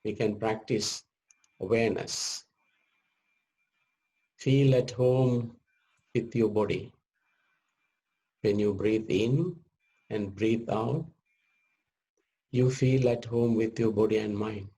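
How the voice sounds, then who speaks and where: A man leading a guided meditation as a teacher, speaking gently in short measured phrases with long pauses. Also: lowish voice, steady tone, rough recording.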